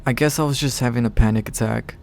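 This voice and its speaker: Storybook heroine, read by a man: nervous woman scientist, evasive, gravelly, narrow in pitch. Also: steady tone, fast talker, a little mellow, close to an everyday voice. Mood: uneasy, reassuring. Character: anxious young woman researcher, flustered, evasive.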